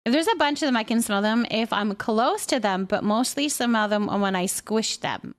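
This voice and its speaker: A woman's voice: a little high, lively intonation, moderate pace. A woman chatting casually and playfully as she hosts a livestream and responds to viewers' comments. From Canada. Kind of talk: casual chat, reading and answering viewer comments.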